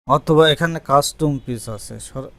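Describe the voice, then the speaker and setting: A man presenting a software tutorial in a casual screen-recording style. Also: a little high, normal speed, no room sound.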